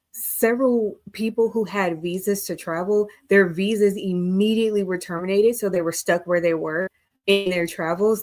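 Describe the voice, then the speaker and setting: Earnest woman explaining from her notes. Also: lowish voice. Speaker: co-host. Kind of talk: casual discussion on a live stream.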